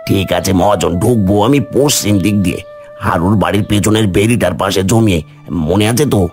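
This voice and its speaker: A man's voice: sits low, normal speed, darkish tone, soft background music, close to an everyday voice. A man voicing a scheming, envious henchman who is servile to his boss in a village cartoon. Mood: sly, conspiratorial. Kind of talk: cartoon story dialogue.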